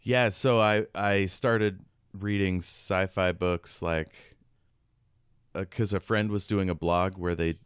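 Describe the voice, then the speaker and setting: A man co-hosting a podcast, chatting casually as a fan in an easygoing way, with halting phrasing. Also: low-pitched, fairly even intonation, clean tone, no echo, studio quality. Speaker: podcast co-host. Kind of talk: casual sci-fi fan chat.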